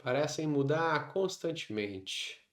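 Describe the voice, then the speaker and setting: A man with a Brazilian Portuguese accent leading a guided meditation, instructing in short phrases separated by long pauses. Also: on the high side, expressive. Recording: roomy, echoing sound.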